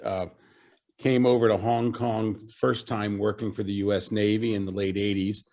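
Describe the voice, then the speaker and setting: A man's voice: fairly low pitch, moderate pace. A middle-aged man from Virginia, a panelist giving a casual, rambling self-introduction over a video call. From Virginia, USA. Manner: relaxed, rambling with many fillers.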